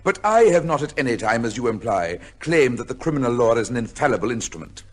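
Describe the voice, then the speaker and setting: An actor playing a proud, severe middle-aged judge with a secret life, speaking formally in an old-time radio drama. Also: male voice, medium pitch, big pitch swings. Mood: pompous, certain. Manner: formal, measured, pompous. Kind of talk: old-time radio drama acting, with first-person narration.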